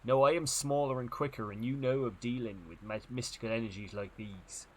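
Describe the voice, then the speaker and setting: A man voicing a small, quick, daring female first mate with a put-on accent. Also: mid-range voice, fairly even intonation, natural voice, poor audio. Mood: bold, reassuring.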